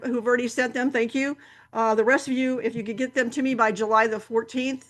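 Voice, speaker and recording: Woman, club host, fairly high voice, meandering announcements full of fillers. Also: a bit bright. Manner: rambling, many fillers and restarts. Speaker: camera club meeting host.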